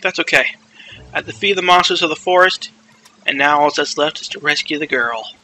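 A man's voice: on the high side, a little fast, bright, forceful tone, a little hiss or hum, pitched below the performer's own voice, rough recording. A man voicing a boastful, panicky young boy hero in a comedic video game dub, exclaiming and narrating himself dramatically. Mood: sneaky, boastful.

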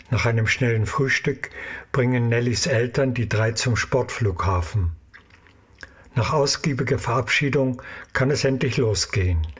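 A man's voice: medium pitch, breathy edge. A man narrating an audio drama in a scripted, storytelling style.

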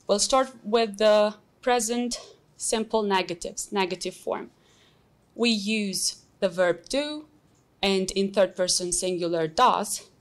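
Slow, patient Albanian teacher woman. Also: medium pitch. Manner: slow, patient, explaining with examples. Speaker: English teacher. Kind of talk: recorded classroom lesson.

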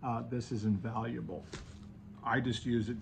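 A man's voice: medium pitch, flat delivery, normal speed. A man in his thirties giving a casual, conversational how-to talk to the camera in a small room at home. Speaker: video host, online seller. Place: small indoor home office with bookshelves. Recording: clear background room noise.

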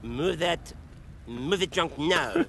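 A man's voice: a little high, big pitch swings, slow pace, creaky, a little hiss or hum, exaggerated voice. A man voicing a bossy, irritable cartoon restaurant chef who scolds and barks brusque orders. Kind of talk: cartoon voice acting. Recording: loud music behind the voice.

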